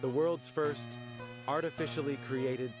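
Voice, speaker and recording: A man's voice: medium pitch, steady tone, unhurried, close to an everyday voice. A man playing a grandiose male inventor in an analog horror animation, presenting like a pompous, mock-courteous showman. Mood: grand, showy. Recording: dull lo-fi audio under loud music.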